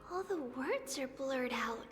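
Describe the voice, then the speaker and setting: Very high-pitched little girl. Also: animated, a little slow. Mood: wistful, puzzled. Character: curious little girl, innocent and eager holy maiden. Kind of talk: video game voice acting. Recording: echoey reverb under game music.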